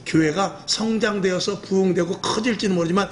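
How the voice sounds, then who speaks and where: A middle-aged male pastor preaching an evening sermon in a fairly high, rough, earthy voice. He speaks in a lively way and often acts out other people's lines. A faint hum sits behind him. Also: fairly quick. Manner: lively, acts out quoted speech, self-mocking humor.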